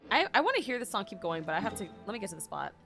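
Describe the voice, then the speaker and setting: A woman streamer giving casual, expressive and gushing reactions while playing a game. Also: medium pitch, very fast, gravelly. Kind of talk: casual gaming reaction commentary. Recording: game music behind.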